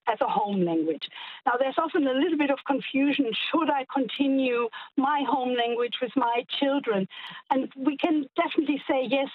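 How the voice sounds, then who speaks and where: Woman calling in, high breathy voice, tinny phone line. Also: no room sound. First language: German. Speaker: caller, academic. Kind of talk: phoned-in talkback call.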